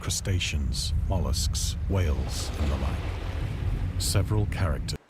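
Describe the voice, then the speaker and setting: Male actor as frightened scholarly narrator, low voice, grave literary horror-game storytelling, heavy background noise. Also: animated, measured pace. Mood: haunted awe. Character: awestruck, frightened man recounting a horror discovery, scholarly and formal.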